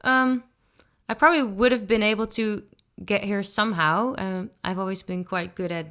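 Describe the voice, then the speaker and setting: Dutch woman, clear smooth voice, relaxed, thoughtful, pauses with ums, podcast guest. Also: medium pitch. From Netherlands. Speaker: guest singer-songwriter. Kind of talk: casual interview answers.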